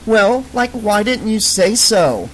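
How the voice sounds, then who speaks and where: A man voicing a drunk, dazed young woman who slurs and sobs in a high, whiny voice. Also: sing-song, takes it slowly, a little hiss or hum, low-quality recording. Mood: drunken. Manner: slurred, sobbing, high squeals.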